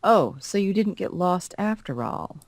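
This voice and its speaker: A woman's voice: mid-range voice, animated, a little slow, no room sound. A woman voicing a cold, haughty elder stepsister in a visual novel, condescending and disdainful. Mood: sardonic. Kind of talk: acted reading of visual novel dialogue.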